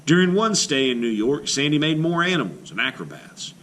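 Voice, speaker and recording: Lively man reading a picture book. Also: on the high side. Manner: expressive storybook reading, slowing on key phrases. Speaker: teacher reading aloud. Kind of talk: children's read-aloud.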